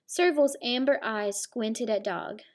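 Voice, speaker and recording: A woman's voice: on the high side, slow pace. A woman reading a children's picture book aloud like a teacher, with explanatory asides. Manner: explains words and story asides. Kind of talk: children's storybook read-aloud.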